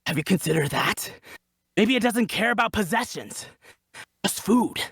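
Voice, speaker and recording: An actor playing a defiant, foul-mouthed bandit survivor in a dramatic table read, husky and blunt in delivery. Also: mid-range voice, expressive, moderate pace, fairly bright tone, no room sound, clean studio sound. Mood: defensive, exasperated. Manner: blunt, emphatic, combative.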